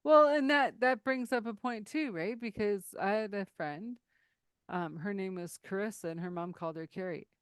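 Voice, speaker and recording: A woman hosting an interview, chatty and animated, who tells stories quickly and laughs often. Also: mid-range voice, clean tone, no room sound, clean studio sound. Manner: lively storyteller, laughs often, speeds up when excited. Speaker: podcast host. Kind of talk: casual interview conversation.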